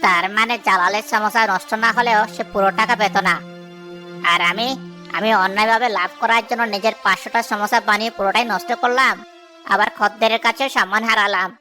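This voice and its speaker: A woman's voice: mid-range voice, steady tone, fast, pushed and bright, faint music behind, natural voice. A woman voicing an envious, boastful male samosa seller in a village cartoon. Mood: dismayed. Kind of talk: cartoon story voice acting.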